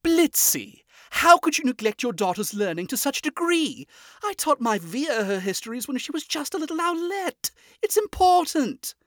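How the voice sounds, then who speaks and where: A man's voice: very high-pitched, sing-song, moderate pace, fairly bright tone, pitched above the performer's own voice, heavily acted. A man voicing a fussy, refined male owl demon prince who speaks formally and properly, reading a fan story aloud. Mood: reproachful.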